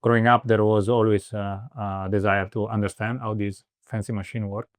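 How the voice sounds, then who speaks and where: A man's voice: on the low side, level tone, normal speed, dry recording. A man from Italy in his thirties answering interview questions, hesitant, with many fillers and restarts. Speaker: guest, startup co-founder.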